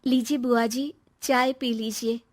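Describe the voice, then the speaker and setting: A woman voicing a gentle, polite young widow in an animated moral story. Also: on the high side, unhurried, lightly acted.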